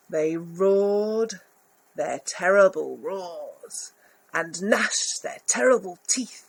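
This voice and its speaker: A woman reading a picture book aloud to children, expressive, with little asides about the pictures. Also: mid-range voice, gravelly, low-quality recording. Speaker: volunteer story reader.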